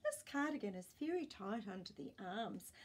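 A woman acts out a fussy old grandpa from a children's storybook. He is polite but plaintive and weary, with a husky voice that rises and falls a lot. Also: mid-range voice, big pitch swings, moderate pace. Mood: firm, complaining.

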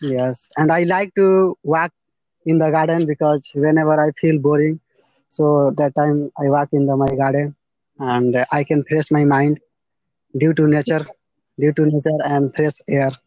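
A young man with an Indian accent chats as an English learner, piecing together simple, halting phrases. His voice sits slightly high. The lo-fi call audio is dull and dry, captured outdoors on a phone. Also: flat delivery, a little mellow, no echo. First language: not English. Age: young adult. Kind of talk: casual practice conversation. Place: outdoors in a garden. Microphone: phone at arm's length, video call.

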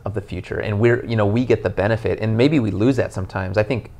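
A man in his thirties, a pastor, talking through a passage on a couch in an earnest, animated way, with lots of "you know". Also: fairly low pitch, quick pace, vocal fry. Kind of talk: casual podcast conversation. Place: living room, on a couch, indoors.

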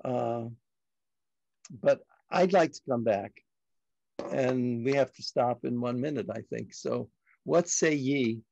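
An older man from Brooklyn, a native Yiddish speaker, hosting a reflective discussion in a halting, deliberate, frank way. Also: mid-range voice, vocal fry, mellow, no room sound. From Brooklyn, New York. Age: older, about 70s. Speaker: discussion host. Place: dim home study, indoors. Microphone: computer on video call. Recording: muffled video call audio.

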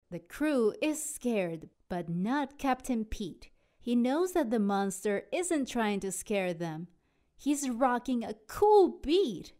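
A woman narrating a children's storybook aloud in an expressive, dramatic way. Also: fairly high pitch. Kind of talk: animated children's read-aloud.

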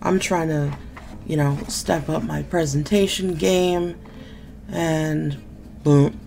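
A woman's voice: deep voice, steady tone, slow, gravelly, dark tone. A woman in her thirties, sick and losing her voice, chatting casually and candidly about beauty products on a desk webcam at home. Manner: chatty, candid, mildly sarcastic. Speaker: beauty vlogger. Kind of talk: casual product unboxing chat. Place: small room at home, indoors.

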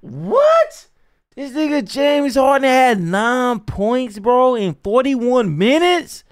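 A man's voice: very high voice, very animated, slow. A young American man giving casual, slangy reaction commentary, repeating phrases in disbelief into a close studio microphone. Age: young adult. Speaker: reaction streamer. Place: small home studio room. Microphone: close boom studio microphone.

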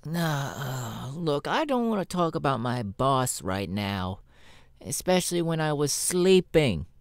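A jaded male spider demon, played by a man for an ASMR roleplay. His pitch swings widely as he swears and drawls with weary sarcasm, recorded close and dry. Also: very animated, unhurried, clear, resonant tone, no room sound. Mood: groggy, wary. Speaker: voice actor, ASMR roleplay artist. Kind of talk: ASMR character audio roleplay.

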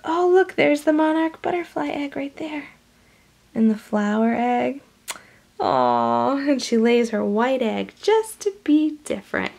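A woman reading a storybook aloud to young children in an expressive, animated way, with hushed asides. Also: high-pitched, unhurried, creaky. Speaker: storybook reader.

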